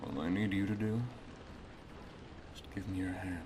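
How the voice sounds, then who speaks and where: Over clear background noise comes a low-pitched male wizard master , acted by a man, speaking softly and intimately. He is a doting, gently teasing mentor and boyfriend in an ASMR roleplay, measured in his delivery. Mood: gentle, proud.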